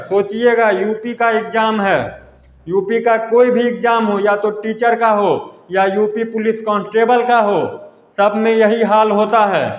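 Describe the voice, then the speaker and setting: High-pitched man in an echoey, reverberant room. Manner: repetitive, emphatic, addresses listeners as friends. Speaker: exam news presenter. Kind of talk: informal exam update addressed to students. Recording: echoey hall-like reverb, dull lo-fi sound.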